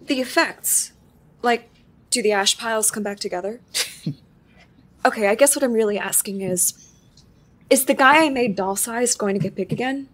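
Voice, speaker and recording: Unsure, husky-voiced newcomer. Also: female voice, on the high side, moderate pace, long pauses, fairly bright tone. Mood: anxious, uncertain. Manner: hesitant, questioning. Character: unsure, guilt-prone newcomer to a support group. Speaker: audio drama actor. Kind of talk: live audio drama acting.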